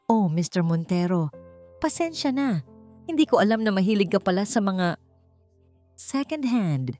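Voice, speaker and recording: A woman's voice: medium pitch, big pitch swings, normal speed, mellow, faint music behind, close to an everyday voice. Woman playing a catty, snobbish female rival. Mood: mock surprise. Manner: mocking, feigned politeness.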